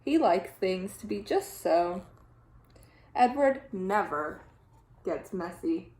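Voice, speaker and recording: A woman teacher reading a children's storybook aloud in an expressive, animated way with deliberate phrasing. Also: mid-range voice. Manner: animated, deliberate phrasing with dramatic sound-effect words. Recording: echoey room sound.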